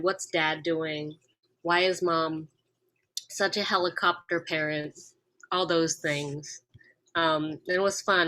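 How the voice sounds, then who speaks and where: A woman's voice: deep voice, pushed and bright, poor audio. A woman actor talking enthusiastically about working with her director, over a video call. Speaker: radio play actor. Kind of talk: casual panel discussion.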